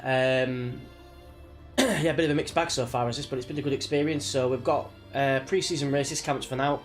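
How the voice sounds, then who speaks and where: A British man talks casually to his livestream viewers with music playing behind him. He sounds a little sniffly from a cold. His delivery is chatty and rambling, at a middle pitch. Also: fairly even intonation, normal speed. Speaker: live streamer, sim racing driver. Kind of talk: casual livestream chat before a race.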